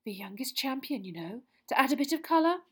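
A woman's voice: high-pitched, big pitch swings, fairly quick, a bit bright, breathy edge, pitched above the performer's own voice, exaggerated voice. A woman voicing a gushing, predatory female gossip journalist with a sugary, coaxing manner.

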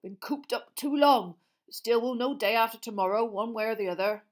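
A woman voicing a huge, gruff but gentle gamekeeper in a storybook reading. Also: a little high, moderate pace, pitched above the performer's own voice, natural voice. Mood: glum.

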